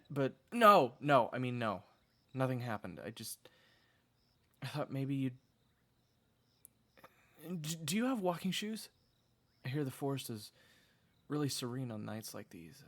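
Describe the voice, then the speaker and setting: A man's voice: medium pitch, lively intonation, a little slow, long pauses, bright, forceful tone, full character voice. A man playing an earnest, easily flustered young hero who also narrates a fantasy audio drama. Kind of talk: audio roleplay acting with first-person narration.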